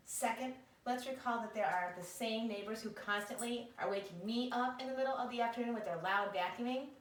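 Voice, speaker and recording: A woman's voice: a little high, brisk, lightly acted. A woman voicing a melodramatic, self-pitying male pet dog with theatrical, wheedling complaints in a picture-book read-aloud. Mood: aggrieved complaining. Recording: echoey room, distant microphone.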